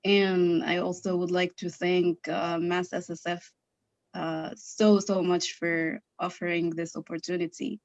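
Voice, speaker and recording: A woman's voice: fairly low pitch, level tone, a little slow, a little mellow, dry recording, muffled recording. A woman in the audience chatting on a video call, hesitant, with many fillers. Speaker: audience member. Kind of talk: casual chat on a video call.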